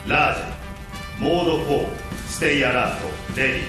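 A man playing a polite, talkative combat machine intelligence in an audio drama, formal, measured and flatly literal. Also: on the high side, roomy sound, music in the background, close to an everyday voice. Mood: flat, dutiful. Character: polite deadpan combat robot AI, talkative and insubordinate. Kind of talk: Japanese audio drama acting.

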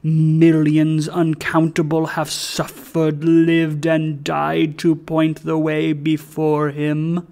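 A narrator voicing a slow, solemn bell spirit. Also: completely flat delivery, gravelly, a little mellow. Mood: solemn, weighty. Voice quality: low, deep. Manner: slow, grave, accusing oratory. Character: solemn, stern ancient goblin spirit of a great bell.

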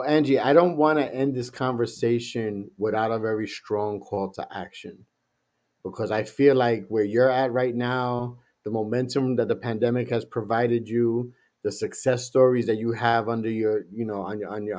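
A man's voice: mid-range voice, steady tone, moderate pace, a little mellow. Man hosting an online interview, mid-range voice. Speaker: interview host. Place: indoors on a video call. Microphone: computer video-call microphone.

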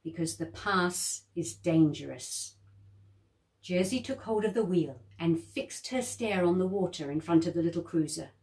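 A woman reading a children's story aloud, expressive and lively, lifting her voice high for the children's lines. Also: unhurried.

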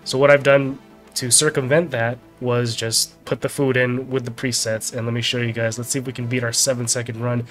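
A man's voice: medium pitch, little pitch movement, brisk. A man in his thirties, slightly nasal as he gets over a sickness, giving a casual game walkthrough. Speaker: game guide video host. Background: background music.

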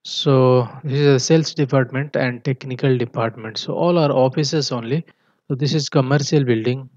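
Halting male tutor, dull lo-fi sound. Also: mid-range voice, fairly even intonation, darkish tone, no echo. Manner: halting, repeatedly checks with "okay". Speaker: engineering tutor. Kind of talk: tutorial walkthrough of technical drawings. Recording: dull, muffled lo-fi voice track.

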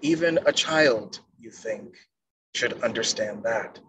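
A middle-aged man giving a measured poetry reading as a poet on a video call. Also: lowish voice, fairly bright tone. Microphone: wired earphone mic on a video call. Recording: noisy video-call audio.

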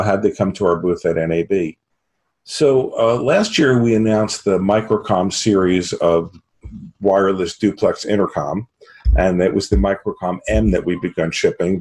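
An American man in his fifties giving a halting product pitch as an interview guest on a video call from a home office. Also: a little mellow. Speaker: sales executive, interview guest. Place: small home office, indoors. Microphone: computer video call.

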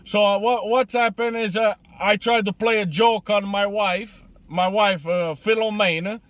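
A high-voiced old immigrant grandfather , put on by a man, stumbles through broken English on a prank call. He is confused and crude, repeating himself haltingly. The sound is dry, recorded inside a car. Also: steady tone, fairly bright tone, no echo. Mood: halting, earnest. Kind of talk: comedic prank phone call.

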